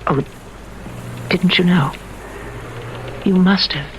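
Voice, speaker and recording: An actress playing a gentle, concerned old friend in a 1970s radio drama, earnest and sympathetic. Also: female voice, lowish voice, slow pace. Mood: troubled. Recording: noisy old radio broadcast recording.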